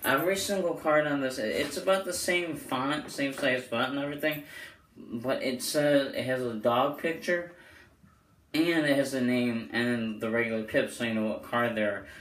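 A young man with a hoarse voice giving a casual, rambling product review to camera as the host. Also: mid-range voice, normal speed, fairly bright tone. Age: young adult. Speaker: host of playing card deck reviews. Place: small bedroom, indoors. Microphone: camera mic a short way off. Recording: roomy echo, clear background noise.

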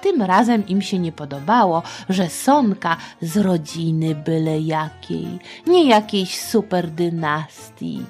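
A woman's voice: lowish voice, lively intonation, takes it slowly, hardly any pauses, a little mellow, faint music behind. A middle-aged woman narrating history for children in a colloquial, playful way with affectionate diminutives. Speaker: podcast host and storyteller for children.

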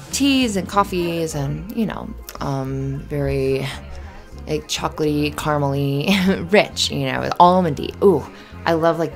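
A woman playing a flustered, friendly young café barista in an ASMR roleplay, chatty and halting in a natural conversational way. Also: deep voice, gravelly. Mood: friendly, scatterbrained. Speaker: voice actor in audio roleplay.

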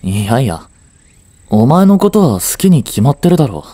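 A man's voice: medium pitch, very animated, normal speed, dark tone, dry recording. A man acting an aloof, blunt but devoted young boyfriend in a romantic audio drama, curt and intimate. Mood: apologetic, tender.

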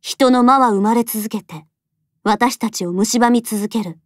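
A woman playing a solemn, resolute fox deity in an audio drama, speaking in a measured, deliberate way with sorrow underneath. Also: fairly high pitch, no echo, clean studio sound, close to an everyday voice. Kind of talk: Japanese voice drama acting.

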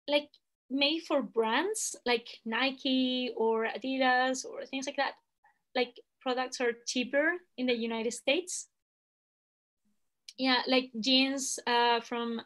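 A woman's voice: sits high, steady tone, slow, fairly bright tone. A young woman from Spain with a Spanish accent, chatting on a video call, hesitant with many fillers. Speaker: guest language assistant. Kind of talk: casual video call chat. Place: indoor room at home. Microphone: laptop webcam video call.

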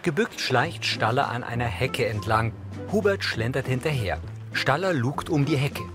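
A man's voice: medium pitch, expressive, moderate pace, over music. A man giving scripted audio description of scenes in a matter-of-fact way.

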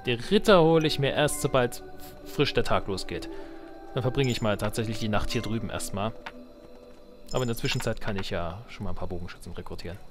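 A man's voice: on the low side, brisk, music in the background. A man giving casual let's play commentary, thinking aloud in colloquial speech. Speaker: let's player.

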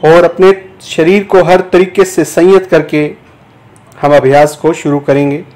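A man giving guided yoga nidra instruction as a teacher, in measured, deliberate short phrases with pauses between them. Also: fairly high pitch, fairly even intonation, darkish tone. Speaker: yoga teacher guiding a meditation.

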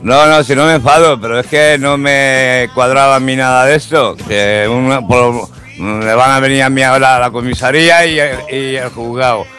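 A man's voice: medium pitch, fairly even intonation, moderate pace, pushed and bright, soft background music. A middle-aged man with a Spanish accent talking bluntly on a phone call, often repeating himself. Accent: Spain. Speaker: prank call victim. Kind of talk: irritated phone conversation. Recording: tinny phone line.

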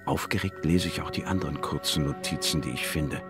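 An actor playing an inquisitive, wry male biologist, narrating in a measured, dry manner in a radio drama. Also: very deep voice, music in the background. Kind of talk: scripted first-person radio drama narration.

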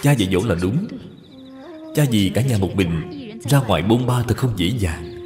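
A gentle, dutiful young wife of old China, read by a man for a cartoon. She is patient and deferential, with lively intonation, in long reverb over faint music. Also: on the low side, normal speed, close to an everyday voice. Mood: gently persuading. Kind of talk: cartoon dubbing.

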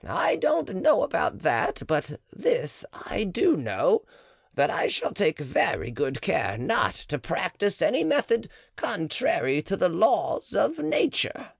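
A man's voice: high-pitched, huge pitch swings, slow pace, gravelly, slightly rough, dry recording, voice pushed up, exaggerated voice. Man voicing a wary older midwife woman. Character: cautious scheming older female midwife. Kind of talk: audiobook character reading.

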